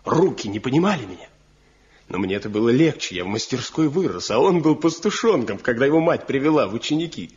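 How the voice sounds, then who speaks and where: An actor playing a proud, stubborn master woodcarver from a mountain village in a radio drama, speaking deliberately in folksy, declamatory phrases. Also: male voice, mid-range voice, sing-song, darkish tone, slightly rough. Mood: reminiscing, wry. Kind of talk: Soviet radio drama acting. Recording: muffled 1970 radio play recording.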